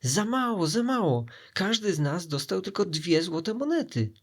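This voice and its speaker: A man's voice: sits high, very animated, voice pushed up, full character voice. A man voicing greedy, dim, gullible pirates in a storybook, clamouring eagerly. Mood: greedy indignation. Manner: clamouring, slow-witted, eager.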